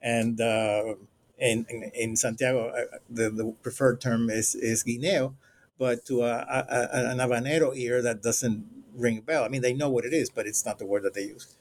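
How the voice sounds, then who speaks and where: Halting academic man with many fillers. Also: medium pitch, steady tone, a little hiss or hum. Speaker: guest scholar, co-editor. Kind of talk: academic interview answer.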